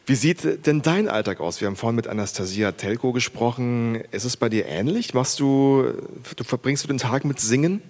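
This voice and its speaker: A man's voice: mid-range voice, animated, moderate pace, hardly any pauses, darkish tone, a little hiss or hum. A man hosting a live concert, announcing performers and interviewing a student on stage in an upbeat way. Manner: upbeat, announcing names and calling for applause. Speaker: concert host.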